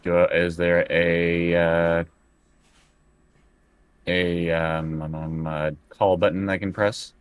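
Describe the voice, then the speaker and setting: A man's voice: deep voice, little pitch movement, slow pace, pauses often, clear, resonant tone, no echo. A man talking casually as a player in a tabletop roleplaying game.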